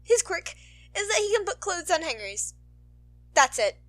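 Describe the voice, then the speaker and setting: A woman reading a scripted story aloud as an expressive narrator. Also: extremely high pitch, measured pace. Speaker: narrator, podfic reader.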